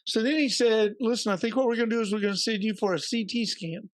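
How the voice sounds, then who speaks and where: A man co-hosting a podcast, slightly hoarse and raspy, telling a story in a chatty way with joking asides. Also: high voice, fast talker, dry recording. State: a little hoarse. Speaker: podcast co-host. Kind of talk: casual podcast chat.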